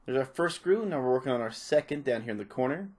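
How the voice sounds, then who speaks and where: A man's voice: mid-range voice, lively intonation, fast talker, darkish tone. A man presenting a repair tutorial, giving casual, informal step-by-step hands-on instructions. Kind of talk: casual hands-on how-to narration.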